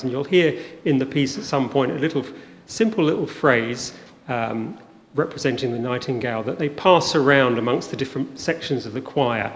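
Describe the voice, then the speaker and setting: A man acting as master of ceremonies, introducing a concert conversationally with many hesitant ums. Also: medium pitch. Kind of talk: informal spoken introduction to a concert. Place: inside a church at a live event. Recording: reverberant church, faint background noise.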